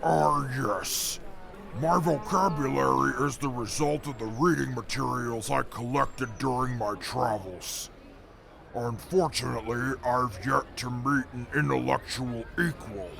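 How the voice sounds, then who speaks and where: Slow, gravelly, pompous super mutant. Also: male voice, medium pitch, music in the background. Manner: pompous, formal diction. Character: haughty, bookish male super mutant, mighty and disdainful. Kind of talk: audio drama acting.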